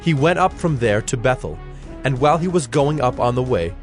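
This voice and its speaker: A man with a medium-pitched voice narrates scripture. His delivery is solemn and scripted, telling the story over background music. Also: lively intonation, brisk.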